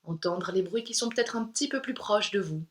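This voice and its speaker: A woman yoga instructor leading a guided meditation in a calm, soothing, unhurried way with pauses. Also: medium pitch.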